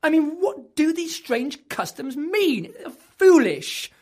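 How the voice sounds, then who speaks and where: A woman acting a deluded male clerk who believes he is king, in a dramatic monologue that swings between hushed whispers and loud outbursts. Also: low voice, lively intonation, a little slow, husky, exaggerated voice. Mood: indignant.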